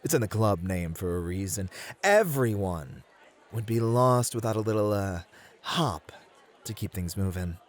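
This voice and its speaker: A man's voice: on the low side, animated, measured pace. A man playing a confident, charming bunny host at a club in a flirty ASMR roleplay, breezy and courteous with showy flourishes. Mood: playful, cocky.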